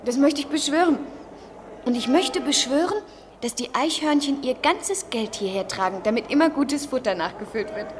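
Radio play role: dreamy, eager woman in her twenties, high girlish voice, poetic delivery. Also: expressive, moderate pace, background noise. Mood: bright, playful. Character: Jennifer, eager, dreamy 23-year-old American student in love. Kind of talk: 1970s German radio play acting.

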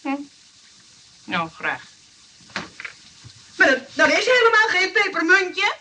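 An actress playing a fussy, easily hurt nurse who stammers indignantly in an old radio play. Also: female voice, very high voice, huge pitch swings, slow pace, bright, forceful tone, distant mic, slight background noise, full character voice, rough recording. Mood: scolding.

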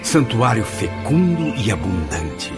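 A man's voice: on the low side, sing-song, takes it slowly. A man narrating a radio drama in a solemn, dramatic way. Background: music.

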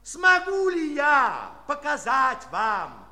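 An actor playing a pompous, excitable circus magician in a children's audio play, announcing grandly and drawing out his words. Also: very high voice, slow pace, full character voice. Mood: showy, delighted. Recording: echoey hall sound, faint crowd behind.

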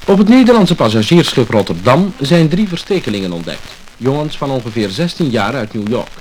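Male Dutch radio newsreader, scripted bulletin delivery, mid-range voice, old lacquer disc with clear surface noise. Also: mid-range voice. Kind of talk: scripted radio news reading. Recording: noisy mid-century lacquer disc recording.

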